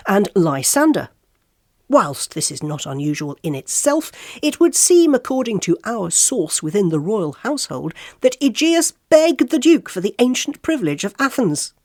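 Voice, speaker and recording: A woman's voice: mid-range voice, darkish tone, no echo, clean studio sound. A woman playing a gossipy tabloid website reporter, reading a scripted column in an arch, theatrical, teasing way. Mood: arch, confiding.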